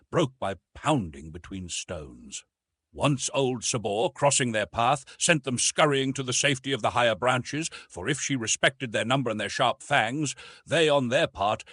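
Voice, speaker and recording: A man narrating an adventure novel as an audiobook, in a dramatic and expressive storytelling manner. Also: medium pitch, breathy edge, no room sound.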